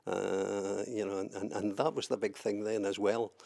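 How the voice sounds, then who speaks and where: Gravelly older Scottish man, low voice. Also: level tone, moderate pace, a little mellow. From southwest Scotland. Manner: hesitant, many fillers and repeated words. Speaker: interviewee, trade unionist. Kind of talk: oral history interview.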